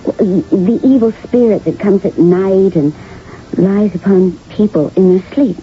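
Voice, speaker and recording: An actress in an early 1980s radio drama, playing a sly, teasing confidante with a languid, insinuating manner. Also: female voice, medium pitch, a little slow, lightly acted. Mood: sly, insistent. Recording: muffled old radio broadcast, faint hiss.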